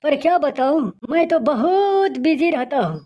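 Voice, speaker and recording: A woman's voice: high-pitched, expressive, measured pace, dull audio. A woman voicing a stressed, busy young man, a chatty friend in a moral story. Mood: weary, complaining. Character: stressed, busy young male friend tired of office life. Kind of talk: acted moral-story dialogue.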